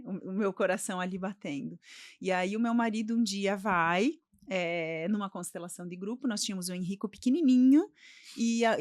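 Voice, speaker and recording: A woman's voice: medium pitch, no echo, studio quality. A middle-aged woman from Brazil, a podcast guest telling her personal story in a reflective way, often checking in with "né?".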